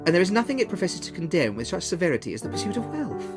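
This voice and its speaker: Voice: medium pitch, sing-song, rapid-fire, over music, pitched above the performer's own voice. A narrator voicing a young man who retorts defensively to his fiancée.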